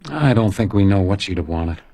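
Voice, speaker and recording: An actor playing a weary, stoic hotel detective in a radio crime drama, terse and downbeat. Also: male voice, low voice, lively intonation, a little fast, warm tone. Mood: weary, defeated. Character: weary, guilt-ridden male hotel house detective, gruff and stoic.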